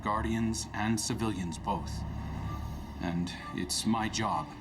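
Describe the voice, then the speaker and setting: An actor playing a weary, compassionate commander in a video game, measured and sincere. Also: male voice, lowish voice, background noise. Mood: solemn. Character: weary, earnest male commander, firm but compassionate. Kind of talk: dramatic video game acting. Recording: distant, under loud game music.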